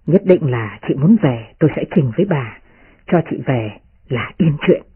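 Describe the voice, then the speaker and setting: A soft-hearted young nobleman, lowered into a man's voice by a woman reader, sounds worn out and quick. The voice is husky, heard over a thin, muffled radio line. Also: animated, full character voice. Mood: exasperated.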